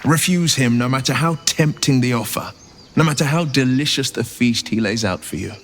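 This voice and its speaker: A man playing a grave, principled companion in a fantasy video game, speaking in a measured, solemn storytelling way. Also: medium pitch, fairly bright tone, lightly acted. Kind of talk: video game character dialogue.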